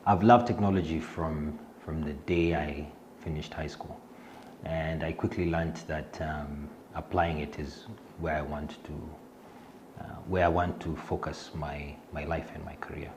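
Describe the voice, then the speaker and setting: A man's voice: rumbling low, level tone, measured pace, background noise. A middle-aged Kenyan man with a Kenyan accent, introducing himself in an interview, hesitant with many fillers and restarts. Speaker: fintech executive.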